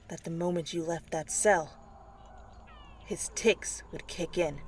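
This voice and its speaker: A woman's voice: fairly low pitch, a little hiss or hum. A woman hosting a fan fiction channel, moving between story narration and playful casual chat. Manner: goofy, playful, slangy in asides.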